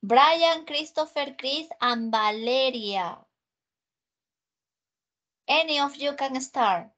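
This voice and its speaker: A woman with a Peruvian Spanish accent teaching an online English class, repeating her instructions slowly and switching to Spanish. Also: high voice, fairly bright tone. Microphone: video call. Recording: muffled video call audio.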